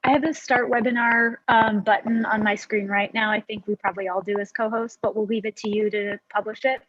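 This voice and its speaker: A woman's voice: mid-range voice, fairly even intonation, brisk, a bit bright, no echo. A woman moderating a webinar, chatting politely and reassuringly with speakers before it starts, heard over a video call. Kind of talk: casual pre-show chat on a video call. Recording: dull, dry video call audio.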